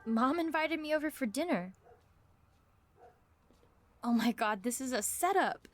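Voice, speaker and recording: A woman playing an earnest, apologetic journalist with a halting manner in a naturalistic audio drama. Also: sits high, animated. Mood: surprised.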